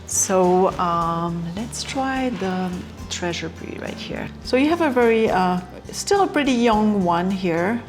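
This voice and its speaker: A woman from Belgium with a Belgian French accent, explaining how her cheese is made in a halting way, with many fillers and restarts. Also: medium pitch, animated, faint music behind. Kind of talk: casual explanation during a tour.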